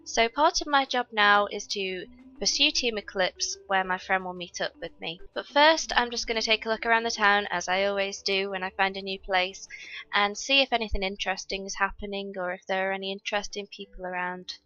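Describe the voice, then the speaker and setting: A woman's voice: mid-range voice, fairly quick, bright, forceful tone, dull audio, soft background music. A young woman recovering from a cold, chatting through her gameplay and explaining each step to viewers. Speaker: gaming YouTuber. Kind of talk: casual gameplay commentary.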